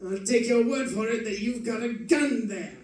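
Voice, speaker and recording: Suave gangland villain, played by a man, high-pitched, unhurried, mock-courteous, echoey live stage. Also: a little mellow, natural voice. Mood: coolly mocking. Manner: silky, taunting, mock-courteous, chuckling. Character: suave, mocking male escaped-convict villain with a gang. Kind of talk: old-time radio crime drama acting. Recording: echoey live stage, distant mic, faint noise.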